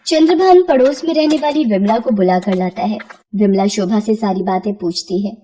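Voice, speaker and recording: A woman narrating a scripted bedtime moral story in an expressive storytelling manner. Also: mid-range voice, normal speed.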